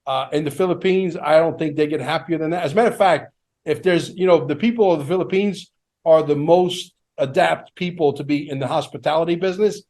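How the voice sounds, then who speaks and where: A man's voice: on the high side, a little fast, a little mellow. A man hosting a casual interview, talking with enthusiasm.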